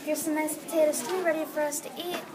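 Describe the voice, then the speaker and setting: A woman's voice: sits high, little pitch movement, quick pace, natural voice. A female reader playing a poor, loving, encouraging mother in a readers theatre play. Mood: caring. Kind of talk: readers theatre, reading from a script. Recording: distant mic, loud crowd chatter.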